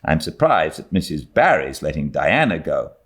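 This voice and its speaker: A man's voice: very deep voice, big pitch swings, normal speed, a bit bright, exaggerated voice. A man voicing a stern, sarcastic older woman who scolds curtly in a storybook reading. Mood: disapproving. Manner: curt, scolding, emphatic.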